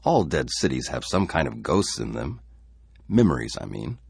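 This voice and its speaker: A man's voice: rumbling low, very animated, moderate pace, vocal fry, a little mellow, breathy edge, dry recording, full character voice. A man voicing an anxious male settler father who speaks with forced cheer and a stern, insistent manner.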